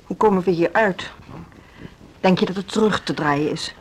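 An actress in a Dutch radio play playing a mild, conciliatory middle-aged school director, measured and husky, with a Netherlands accent. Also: female voice, lowish voice, a little hiss or hum, natural voice. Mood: concerned. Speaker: radio drama actor.

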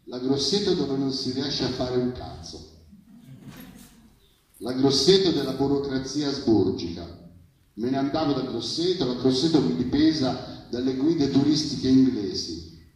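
A man delivers spoken-word poetry in an incantatory way, leaning on refrains. His overall pace is slow, and the live recording is heavily echoing and somewhat far from the microphone. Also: medium pitch, lively intonation. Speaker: poet performing at a reading. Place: live public reading, heard from a distance. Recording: very echoey, distant live recording.

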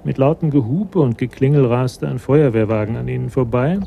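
An actor serving as the composed narrator of a German radio crime drama, reading scripted prose. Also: male voice, medium pitch, fairly quick, gravelly, mellow, a little hiss or hum, natural voice. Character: composed storyteller of a police tale.

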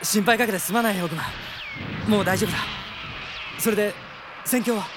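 Earnest young prince, high male voice actor. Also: noisy background, close to an everyday voice. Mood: calm, reassuring. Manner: polite, sincere, measured. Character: gentle, earnest young hero prince leading an army. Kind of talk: Japanese radio drama acting. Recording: music under the voice.

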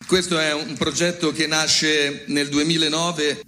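A man's voice: fairly high pitch, little pitch movement, a little slow, bright, forceful tone. A man introducing a theatre collective's project in a spoken presentation. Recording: long hall reverb.

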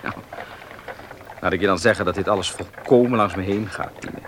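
Deep-voiced, level-headed young man. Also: background noise. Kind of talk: radio drama acting. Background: vehicle sound effects.

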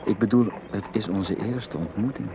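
An actor playing a shy, earnest English aristocrat of very small stature in a radio drama, speaking in a hesitant, courteous, tender way. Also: male voice, lowish voice, moderate pace, noisy background, lightly acted. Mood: quiet wonder. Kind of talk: Dutch-language radio drama acting. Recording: radio play with music behind.

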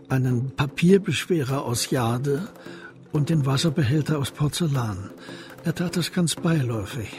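A man narrating a scripted crime story in a measured, descriptive, literary way. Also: fairly high pitch, a little mellow.

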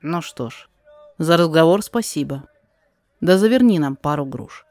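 A woman playing a wise, cautious male sorcerer in a rhymed audio drama, speaking in a quiet, measured, deliberate way. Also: low-pitched, lively intonation, no echo. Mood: calm questioning.

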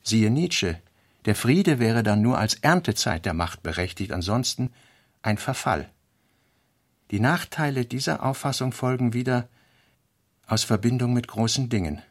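A man reads scripted, essayistic notes in a radio play. His pitch is average for a man and the sound is very dry. The phrasing is terse and deliberate, set out point by point. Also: lively intonation, dry recording.

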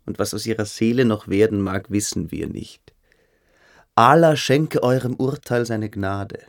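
An actor playing a cynical adolescent military cadet in a radio drama, sneering, contemptuous and indifferent. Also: male voice, lowish voice, measured pace, gravelly. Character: cynical, grinning adolescent cadet with a mystical bent. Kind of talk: German literary radio drama acting.

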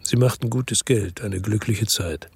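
A man's voice: measured pace, a little mellow, no room sound, exaggerated voice. An actor playing a weary hard-boiled investigator who narrates his own story in a radio drama, terse and matter-of-fact. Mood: measured, tense. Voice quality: low, gravelly, husky. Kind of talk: scripted first-person radio drama narration. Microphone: close dry studio microphone.

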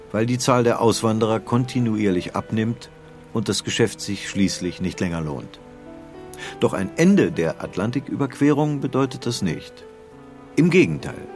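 Male narrator for scripted historical audio documentary, low voice, music underneath.

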